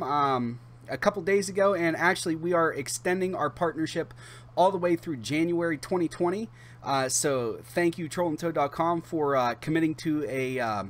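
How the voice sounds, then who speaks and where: Higher-pitched male YouTube host, chatty. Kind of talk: casual direct-to-camera giveaway announcement.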